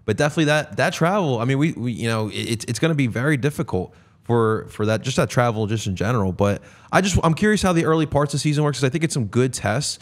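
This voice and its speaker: Male co-host with an average-pitched voice for informal, conversational sports chat. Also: animated, fast.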